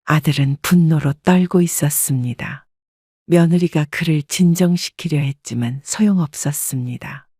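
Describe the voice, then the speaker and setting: Calm woman narrating a family story. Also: sits low, moderate pace, husky, no echo, clean studio sound. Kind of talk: scripted first-person story narration.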